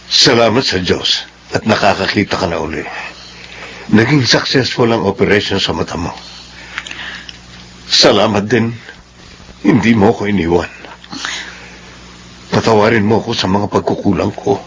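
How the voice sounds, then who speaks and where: A man's voice: fairly low pitch, normal speed, long pauses, fairly bright tone, a little hiss or hum, poor audio. A man acting a wounded, jealous husband in a Filipino radio drama, with impassioned delivery. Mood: tender, grateful. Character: Clyde, wounded, jealous husband of an adulterous wife.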